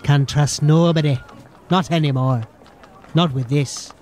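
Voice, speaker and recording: A man's voice: fairly high pitch, steady tone, measured pace, a little mellow, a little hiss or hum, close to an everyday voice. A man playing a distrustful, furtive street informant in an audio drama, with a colloquial way of talking. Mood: wary, sardonic.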